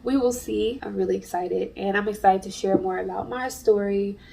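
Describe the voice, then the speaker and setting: A woman's voice: medium pitch, moderate pace, creaky, mellow, far from the mic. A congested woman, an artist and small business owner, talking in a chatty, earnest way to camera in a casual vlog. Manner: chatty and earnest, many fillers. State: congested from allergies.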